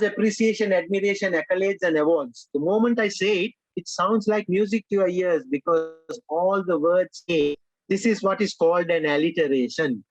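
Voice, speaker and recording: Animated high-voiced man, Sri Lankan speaking coach. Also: no room sound, lo-fi sound. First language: Sinhala or Tamil. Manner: animated, emphatic, repeats "my dear friends". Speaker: speech workshop trainer. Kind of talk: online workshop lecture.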